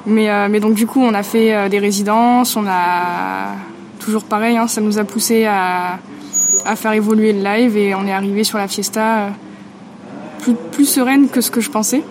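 A woman's voice: medium pitch, fairly even intonation, moderate pace, darkish tone. Chatty woman musician, faint noise. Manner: chatty, frequent fillers. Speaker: musician in a duo. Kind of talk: casual interview answers.

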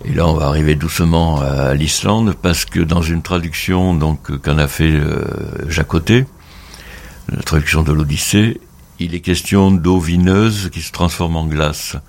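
Super deep gravelly guy, slow and halting, lots of ums, radio interview. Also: steady tone, darkish tone. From Mediterranean, between Tunisia and Marseille. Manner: halting, many pauses and fillers. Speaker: photographer, guest.

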